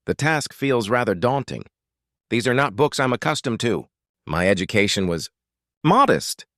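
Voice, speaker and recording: A British man voicing a kind, earnest young fairytale woman with a soft, polite way of talking. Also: mid-range voice, very animated, moderate pace, dry recording, clean studio sound. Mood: modest, unsure.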